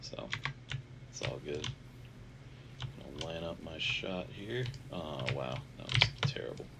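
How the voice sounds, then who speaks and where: A man with a deep, stuffy, nasal voice talks loosely over a game, trailing off and filling pauses as he goes. He sounds a bit worn out. Steady keyboard and mouse clicks come through clearly behind him on the headset mic. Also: very noisy. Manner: rambling, many filler sounds, self-deprecating. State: congested, nasal; tired. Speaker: gaming channel host. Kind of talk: casual gameplay commentary. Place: home bedroom. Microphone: gaming headset.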